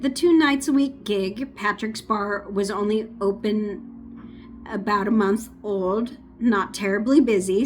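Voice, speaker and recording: A woman's voice: mid-range voice, slight background noise. A woman reading a true-crime book aloud in a casual, unpolished way. She stumbles over names, restarts lines, and breaks off for chatty asides. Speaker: audiobook reader. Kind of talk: amateur audiobook reading with asides.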